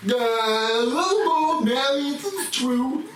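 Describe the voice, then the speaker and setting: A woman's voice: sits high, lively intonation, slow. A woman acting a hapless, lovable wronged husband in an old-time radio melodrama, heard from far off in a noisy, echoey hall with a crowd. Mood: wounded.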